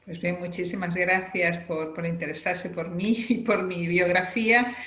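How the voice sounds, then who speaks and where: A woman's voice: on the low side, fairly even intonation, a little slow, fairly bright tone, roomy sound. A middle-aged woman from Spain, a political scientist explaining her views fluently as an interview guest. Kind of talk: radio interview.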